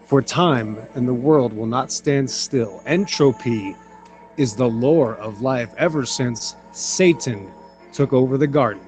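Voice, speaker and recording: A man's voice: mid-range voice, slow, darkish tone, faint music behind, poor audio. Man giving a grand sign-off. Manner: offhand, then grandly rhetorical. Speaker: show host giving the outro. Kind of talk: casual sign-off.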